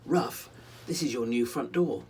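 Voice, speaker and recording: A man voicing a kind, encouraging male storybook friend who gently urges and repeats himself. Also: medium pitch, unhurried, slight background noise, lightly acted. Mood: coaxing. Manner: gently urging, repeating words.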